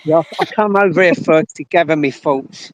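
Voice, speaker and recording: A man's voice: on the high side, normal speed, no echo, poor audio. A middle-aged man with a London accent chatting casually on a livestream from outdoors. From London, England.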